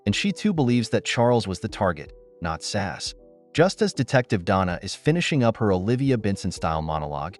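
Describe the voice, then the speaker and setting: A man reading a scripted recap narration as the host of a breakdown video. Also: fairly low pitch. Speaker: host of a TV episode breakdown channel.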